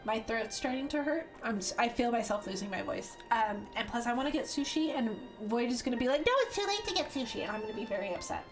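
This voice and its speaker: A woman streamer chats casually over her gameplay, with a joking, profane manner. Her voice sits a little high, with game music playing clearly behind her. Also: fast talker, few pauses. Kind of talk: casual gaming stream chat.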